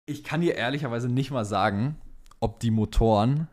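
A man's voice: mid-range voice, normal speed, darkish tone. A man co-hosting a casual motorsport chat, talking informally with English slang mixed in. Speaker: podcast co-host reading listener questions.

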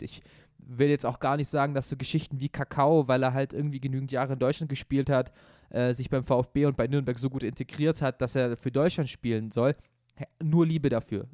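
A man's voice: mid-range voice, fairly even intonation, fairly quick, dark tone, dry recording, studio quality. A young man co-hosting a casual football podcast, opinionated and rambling, often restarting his sentences. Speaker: podcast co-host.

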